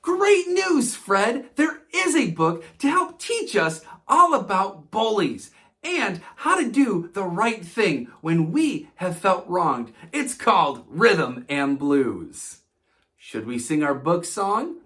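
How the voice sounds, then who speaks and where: Slow, animated female kids' host. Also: mid-range voice. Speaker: children's show host. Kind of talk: children's story time introduction.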